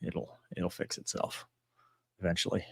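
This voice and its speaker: A man's voice: moderate pace, no echo. A man hosting a casual live question-and-answer stream, talking in a rambling, matter-of-fact way that often trails off. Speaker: live stream host answering viewer messages; pilot and author.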